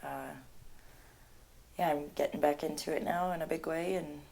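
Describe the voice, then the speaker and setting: Low-voiced young woman, chatty. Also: moderate pace, vocal fry, a little hiss or hum. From Canada. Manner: chatty, animated storytelling with laughs. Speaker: singer-songwriter. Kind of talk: casual interview.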